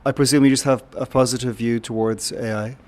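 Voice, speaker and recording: A man's voice: medium pitch, fairly even intonation, a little fast. A man interviewing a guest, putting his questions and remarks in a conversational way.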